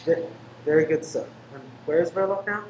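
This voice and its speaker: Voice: mid-range voice, brisk, a little mellow, a little hiss or hum, natural voice, low-quality recording. A performer acting a deferential junior detective in a radio play, clipped and cautious. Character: deferential junior Scotland Yard detective sergeant.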